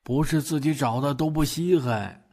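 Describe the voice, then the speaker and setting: A man acting a gruff, teasing, doting old grandfather. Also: on the high side, normal speed, darkish tone. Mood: grumbling. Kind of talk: Chinese audio drama acting.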